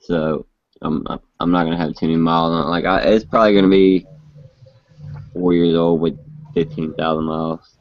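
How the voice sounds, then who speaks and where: Deep-voiced man in his twenties, rambling. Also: steady tone, moderate pace, no echo. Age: 20s. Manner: rambling, laid-back storyteller with frequent restarts. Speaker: host. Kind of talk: casual chat. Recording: muffled lo-fi live stream audio.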